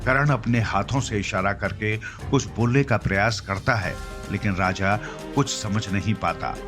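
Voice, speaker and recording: A man with a fairly low voice tells a scripted children's fantasy tale. He is the narrator, and music plays clearly behind his words. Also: a little slow. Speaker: story narrator. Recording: clear music bed under the voice. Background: background music.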